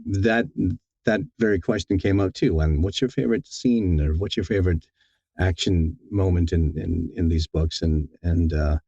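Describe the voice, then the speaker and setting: A man's voice: low-pitched, normal speed, mellow, husky, no echo, highs cut off. A man being interviewed as an audiobook narrator, talking casually and thoughtfully, often repeating words as he feels his way along.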